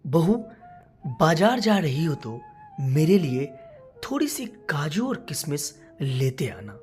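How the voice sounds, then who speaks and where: Storybook role read by a woman: gentle elderly mother-in-law, wistful and soft-spoken, low slow voice over music. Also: big pitch swings, exaggerated voice. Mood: gentle, hopeful. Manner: soft-spoken, without harshness.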